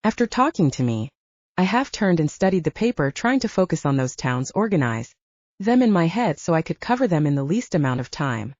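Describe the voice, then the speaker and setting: A woman narrating a first-person fantasy audiobook in an expressive, dramatic manner. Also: fairly low pitch, a little fast, no room sound. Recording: dull dry audiobook recording.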